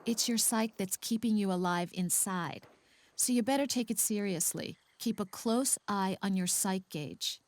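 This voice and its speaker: A woman playing a caring but firm counselor in a video game, explaining things with gentle insistence. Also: medium pitch, measured pace, natural voice. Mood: concerned, insistent.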